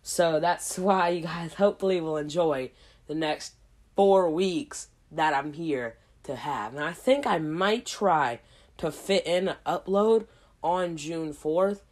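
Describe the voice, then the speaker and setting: A woman hosting a casual solo podcast, hoarse from a cold, rambling in a slangy way with lots of asides. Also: sits low, slow pace, darkish tone. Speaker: podcast host.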